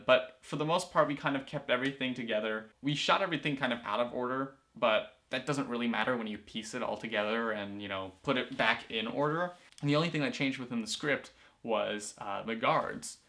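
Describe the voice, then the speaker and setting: A man's voice: mid-range voice, fairly quick, pushed and bright. A teenage boy talking casually to camera in a small room, rambling with lots of fillers and restarts. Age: teens. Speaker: student filmmaker and vlogger. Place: small room, indoors, in a corner.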